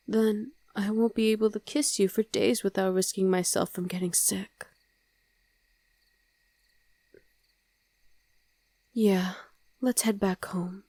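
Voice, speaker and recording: A woman playing a tender, doting, teasing vampire wife in an intimate ASMR roleplay, speaking softly and breathily, often in a whisper. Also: mid-range voice, no echo, lightly acted. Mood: caring.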